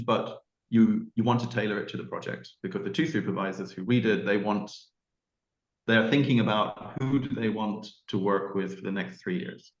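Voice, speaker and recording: Low-ish guy on a video call, echoey room, kinda muffled, explaining things in a steady measured way. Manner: measured, explanatory, with "you know" asides. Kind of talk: explaining at an online information session. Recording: roomy, muffled call audio.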